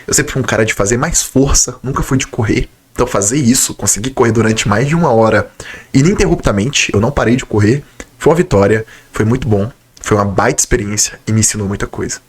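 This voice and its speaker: Man, young, Brazilian Portuguese accent, quick speech, slightly nasal from a cold, casual vlog chat. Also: on the low side, husky. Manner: conversational, enthusiastic, direct address to viewers. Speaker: nutritionist vlogger. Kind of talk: casual talking-to-camera vlog.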